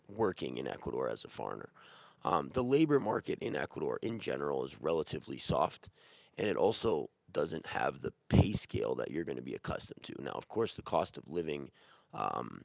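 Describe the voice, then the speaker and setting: A man with a very low, gravelly voice, hoarse because he is sick. He answers questions in a relaxed, rambling way with plenty of fillers and restarts. The sound is dry and close. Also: fairly quick, no echo. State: sick, losing his voice. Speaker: real estate agent answering viewer questions. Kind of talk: casual Q&A livestream.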